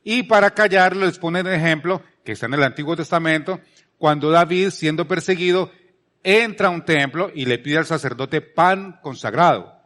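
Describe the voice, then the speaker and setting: Higher-pitched Colombian man preaching conversationally. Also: moderate pace, fairly bright tone. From Palmira, Colombia. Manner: emphatic repetition, rhetorical questions. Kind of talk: conversational sermon.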